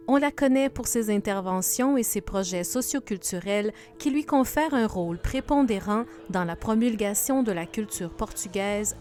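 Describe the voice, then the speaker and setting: A woman reading scripted documentary narration with a measured, clear delivery. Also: medium pitch, a little mellow.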